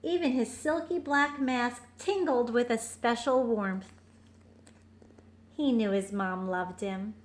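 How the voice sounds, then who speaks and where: A woman with a high-pitched voice reads a picture book to young children. She goes slowly and adds simple asides, explaining and asking as a storyteller does.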